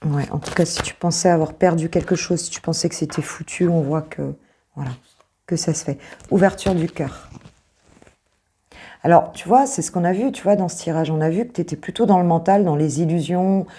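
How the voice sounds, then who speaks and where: A French woman who is a coach, reading cards to her viewers in a casual, hesitant way with many fillers and a slightly hoarse voice. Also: fairly low pitch, a little fast, slight background noise. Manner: hesitant, many fillers, soft reassuring asides.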